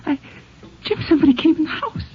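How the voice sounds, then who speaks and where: An American actress playing an anxious, husky-voiced ranch wife in a 1950s radio drama. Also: female voice, high-pitched, animated, quick pace. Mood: anxious, uneasy. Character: anxious ranch wife, a grown woman woken at night. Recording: muffled vintage radio recording.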